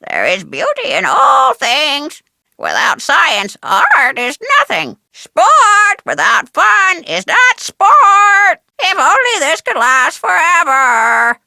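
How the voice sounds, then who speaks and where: A cheeky, vain talking parrot from a video game, with a piercingly bright squawk. The pitch swoops wildly as this bossy bird repeats itself in clipped exclamations. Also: female voice, wildly swooping pitch, slow pace, vocal fry, no room sound, heavily acted. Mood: proclaiming. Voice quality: squawky, shrill. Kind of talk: cartoonish video game character acting.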